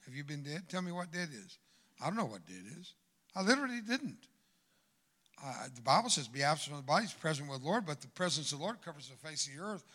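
An older man preaching a sermon in church, swinging from loud exhortation to hushed asides. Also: a little high, sing-song, fast. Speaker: guest preacher. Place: church sanctuary.

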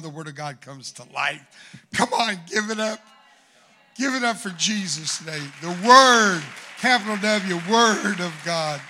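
An older man preaching a sermon in church, swinging from loud exhortation to hushed asides. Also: on the high side. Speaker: guest preacher. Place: church sanctuary.

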